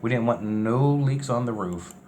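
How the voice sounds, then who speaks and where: A man's voice: medium pitch, moderate pace. A man giving casual, unscripted narration over DIY build footage in a folksy, colloquial way. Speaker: vlogger recapping a DIY bus conversion. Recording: clear steady background noise, ambience.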